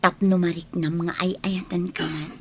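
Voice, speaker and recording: An actress playing an anxious, loving young woman in a radio drama, with a breathy voice and an Ilocano accent. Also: lowish voice, little pitch movement, a little slow, a little hiss or hum. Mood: earnest, pleading. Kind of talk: Filipino radio comedy drama acting.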